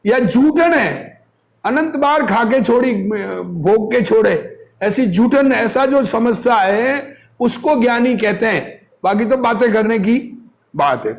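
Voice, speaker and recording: This is a man with a notably high-pitched voice delivering a religious discourse. He takes his time, moving slowly between reading and explanation. His manner is that of a teacher, instructive and fond of rhetorical questions. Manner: didactic, asks rhetorical questions and answers them.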